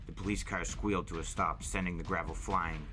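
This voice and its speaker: A man's voice: deep voice, steady tone, a little fast, sharp tone. A man reading a first-person novel aloud as a dramatic storyteller. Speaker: storyteller, podcast host. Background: faint music.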